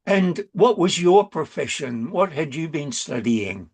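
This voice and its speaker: An interviewer asking gentle follow-up questions and giving brief encouraging replies. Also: medium pitch, sing-song, unhurried. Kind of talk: conversational interview.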